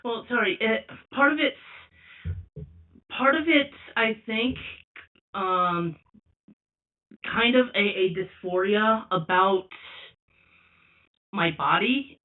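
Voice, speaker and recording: A young man with a high voice calling in anonymously, speaking slowly and hesitantly with many restarts. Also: expressive. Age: young adult. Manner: slow, hesitant, restarts often. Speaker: caller. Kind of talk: anonymous call-in sharing. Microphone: phone call. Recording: tinny phone line from far off.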